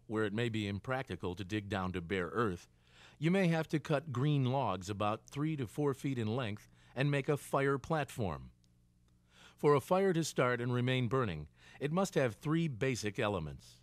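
A man reading scripted instructional narration for a training film in a deliberate, clearly segmented way. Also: medium pitch, sing-song, dry recording. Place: dry studio voice-over.

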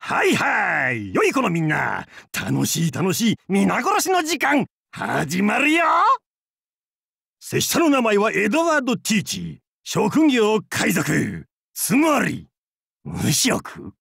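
An actor playing a boisterous, crude and goofy male pirate captain in a game, with a gravelly, husky voice and a hammy, theatrical, jokey way of talking. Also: sits high, wildly swooping pitch, slow pace, fairly bright tone, no room sound, studio quality, exaggerated voice. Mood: hammy battle cries. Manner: hammy, theatrical, jokey otaku-style speech. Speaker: game character voice. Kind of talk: voiced game character lines.

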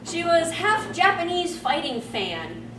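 A woman's voice: very high-pitched, big pitch swings, slow. A young woman hosting a fan panel, reading fan fiction aloud in a theatrical, giggly way, with joking asides. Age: young adult. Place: convention panel room stage. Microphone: far camera. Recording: distant camera in echoey noisy hall.

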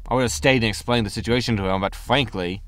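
A man's voice: fairly low pitch, fast talker, a bit bright, no echo, lightly acted. A man playing a harried, wry spaceship captain with a rambling manner in a science fiction comedy audio drama. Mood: wry, uneasy.

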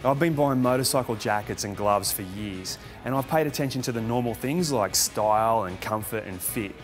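A man's voice: lowish voice, moderate pace. A man presenting to camera, enthusiastic and conversational. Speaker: motorcycle magazine presenter. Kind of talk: talking to camera. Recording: music playing under the voice.

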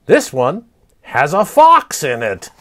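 A proud, teasing male fox spirit from a storybook, put on by a man telling the tale. He speaks high and loftily, with a voice that rises and falls widely. The delivery stays unhurried. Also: wildly swooping pitch, a bit bright, heavily acted. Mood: amused.

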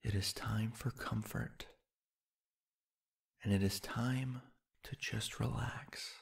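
Male sleep-hypnosis narrator: lowish, husky with some fry, soft, unhurried delivery with long pauses. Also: fairly even intonation. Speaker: guided meditation narrator. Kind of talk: sleep hypnosis guided meditation, slow scripted narration.

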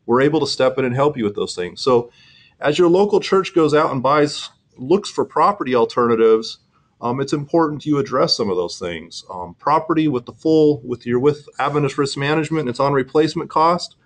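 A man's voice: medium pitch, expressive, a little fast. Earnest man presenting an insurance webinar. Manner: earnest, explanatory, with frequent fillers and restarts.

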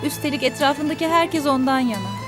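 A hurt but firm young woman, with a high voice and quick, measured reproach. A violin-laced drama score plays beneath her. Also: expressive, music in the background. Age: young adult. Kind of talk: TV drama acting.

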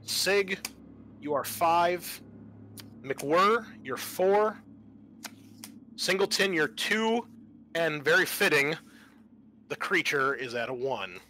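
A man's voice: a little high, animated, slow pace, long pauses, bright, forceful tone, a little hiss or hum. A man acting as game master, explaining and narrating a horror roleplaying game in a casual, sweary way.

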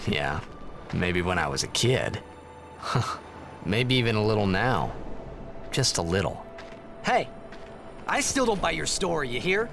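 An actor playing a brash, emotional, boyish young adventurer in a video game, defensive and exclamatory. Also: male voice, medium pitch, big pitch swings, a little slow, gravelly, pauses often, over music. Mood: sheepish.

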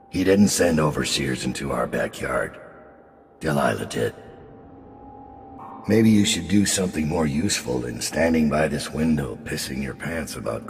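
An actor playing a middle-aged, world-weary assassin leader in a video game, grim, measured and dryly threatening, with a gravelly, very deep voice. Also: male voice, big-room sound, slight background noise, full character voice. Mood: cold menace. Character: gravelly, world-weary, menacing master assassin leading a gang. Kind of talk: video game character acting.

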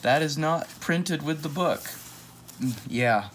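A man's voice: on the high side, takes it slowly, a bit bright, a little hiss or hum. An American man reading a picture book aloud to kids in a casual, playful way with joking asides.

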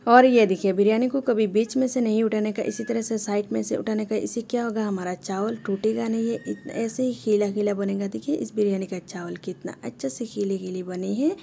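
Woman recipe host, mellow and gravelly, steady instructive voice-over with faint noise behind. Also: medium pitch, brisk, hardly any pauses. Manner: instructive, talks the viewer through each step. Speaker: cooking channel host.

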